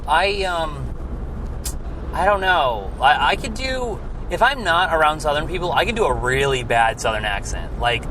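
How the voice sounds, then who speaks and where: A young man co-hosting a casual podcast, rambling and trailing off with lots of filler words. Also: a little high. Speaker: podcast co-host. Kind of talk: casual chat in a car. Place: inside a car. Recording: road noise in a moving car. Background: car and traffic noise.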